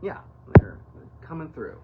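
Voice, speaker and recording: A man's voice: unhurried, phone-quality sound, very noisy. A man hosting a casual livestream interview on a tablet, talking to his audience while he sets up the call. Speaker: show host. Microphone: video call on a tablet.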